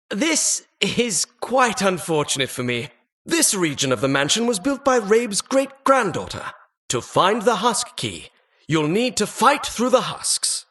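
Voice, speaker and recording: A man's voice: a little high, a bit bright, exaggerated voice. A man voicing a talking dungeon as a pompous, mock-polite, sardonic butler-like host in a video game. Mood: smug. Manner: pompous, mock-polite, arch formal phrasing. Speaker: game announcer. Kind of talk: theatrical video game voice-over.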